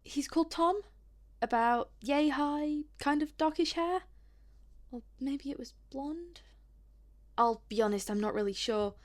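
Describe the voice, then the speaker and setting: A woman plays a polite but sceptical female visitor in an audio drama. Her voice sits fairly high, and she talks in a hesitant, rambling, slightly awkward way. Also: measured pace. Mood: hesitant, chatty. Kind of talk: audio drama acting, naturalistic.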